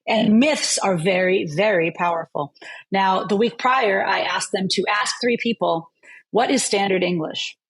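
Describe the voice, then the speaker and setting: A woman's voice: mid-range voice, unhurried, breathy edge, rough recording. A woman professor of linguistics, explaining her teaching in a vivid, storytelling manner during an interview. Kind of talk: conversational interview.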